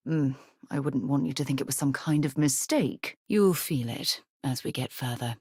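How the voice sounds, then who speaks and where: A woman playing a principled, earnest space explorer and leader in a video game, a husky voice and articulate, formal delivery. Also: rumbling low, moderate pace, a little mellow, no echo, studio quality. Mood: composed, reflective. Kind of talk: scripted video game companion dialogue.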